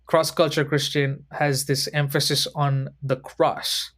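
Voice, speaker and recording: A man in his thirties from Sri Lanka, a podcast guest who speaks in a measured, thoughtful way and slows down to choose his words. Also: fairly high pitch, little pitch movement, fairly bright tone. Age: 30s. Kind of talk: reflective conversation.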